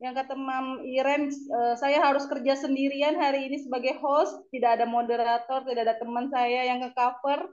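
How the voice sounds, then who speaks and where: Brief: high-pitched, slightly hoarse woman, earnest new host, muffled lo-fi call. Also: fairly even intonation, normal speed, a little mellow. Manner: earnest, grateful, self-deprecating. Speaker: first-time webinar host. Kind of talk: casual online chat. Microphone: video call. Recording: dull lo-fi call audio.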